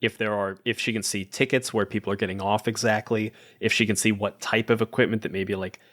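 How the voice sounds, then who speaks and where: A man's voice: on the low side, fairly even intonation, fairly quick, a bit bright. A man talking casually as a player in a tabletop roleplaying game, thinking aloud and rewording as he goes. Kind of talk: casual roleplay talk, describing his character's actions.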